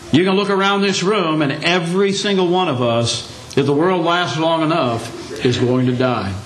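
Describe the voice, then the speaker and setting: An older man preaching a Sunday lesson, his voice hoarse and scratchy, speaking in a plain folksy way with a touch of humor. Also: a little high, a bit bright. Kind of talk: sermon. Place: church meeting room. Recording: echoey room.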